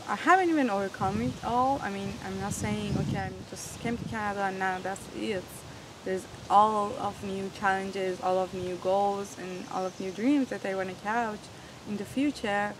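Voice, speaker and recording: A woman's voice: medium pitch, normal speed. Earnest young woman, traffic behind. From Iran. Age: 20s. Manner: earnest, searching for words in a second language. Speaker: interviewee. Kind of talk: personal storytelling.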